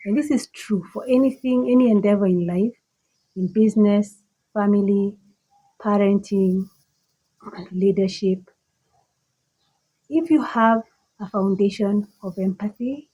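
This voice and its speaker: A woman with a Kenyan accent and a slightly husky voice, giving an emphatic, deliberate motivational monologue that repeats phrases for effect. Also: medium pitch, dark tone, low-quality recording. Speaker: motivational speaker.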